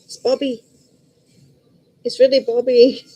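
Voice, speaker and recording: A woman's voice: a little high, little pitch movement, takes it slowly, dark tone. A middle-aged woman with a Liverpool accent, chatting casually and bluntly to her viewers in a rambling way. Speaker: vlogger. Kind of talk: casual chat to camera.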